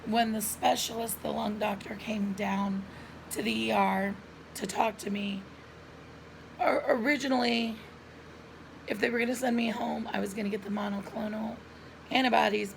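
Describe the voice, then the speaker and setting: A middle-aged woman with a hoarse voice and frequent coughs, telling viewers a personal story in an earnest, chatty way, recorded at home on a camera mic. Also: mid-range voice, background noise. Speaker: lifestyle vlogger.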